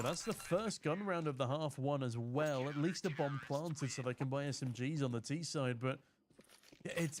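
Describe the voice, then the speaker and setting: A man's voice: on the high side, slight background noise. A man from the UK casting an esports match alone, calling the play and chatting with viewers in the chat. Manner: chatty, jokey, reads viewer chat aloud between play calls. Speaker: esports caster. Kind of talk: solo esports commentary with chat reading.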